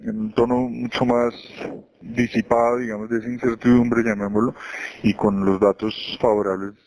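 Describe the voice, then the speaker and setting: A man with a Colombian accent giving financial commentary as an investment executive, in a measured explanatory way with frequent self-corrections. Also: medium pitch, little pitch movement, darkish tone, slight background noise, low-quality recording. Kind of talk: market commentary on a conference call.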